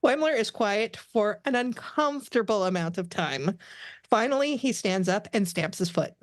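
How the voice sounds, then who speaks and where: A woman's voice: medium pitch, animated, normal speed, dry recording. A woman narrating the stage directions of a script as scripted narration.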